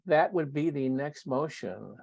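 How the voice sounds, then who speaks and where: A man's voice: a little high, lively intonation, unhurried, creaky, darkish tone, husky, no room sound. A man chairing an online board meeting, running it in brief procedural remarks. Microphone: video call.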